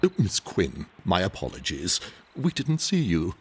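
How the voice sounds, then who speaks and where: An actor playing an earnest, courteous household servant in an audio drama, formal and polite, with a husky voice. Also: male voice, sits low, wildly swooping pitch, measured pace, slight background noise, heavily acted. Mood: apologetic.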